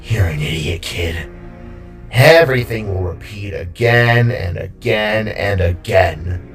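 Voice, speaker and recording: A man voicing a defeated villain king in an audio drama, bitter and gleefully unhinged, with a groggy, drawn-out, rambling delivery. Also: on the low side, recorded from a distance. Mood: bitter, taunting. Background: music.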